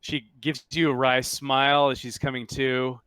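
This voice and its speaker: A man's voice: mid-range voice, little pitch movement, moderate pace, bright, forceful tone, smooth, dry recording. A man running a tabletop roleplaying game, narrating and explaining casually to his players as game master.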